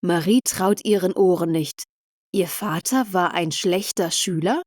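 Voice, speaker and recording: A woman's voice: lowish voice, unhurried, a little mellow, dry recording, clean studio sound. A woman narrating a simple scripted story for language learners, enunciating carefully.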